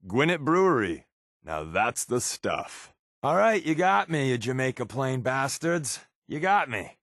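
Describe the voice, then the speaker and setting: A man's voice: mid-range voice, very animated, unhurried, a bit bright, no echo, clean studio sound, heavily acted. A man voicing a wry, sarcastic undercover agent in a post-apocalyptic video game, tossing off conversational quips. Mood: sardonic, conversational. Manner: wry, sarcastic, playful asides. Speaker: video game companion character voice actor.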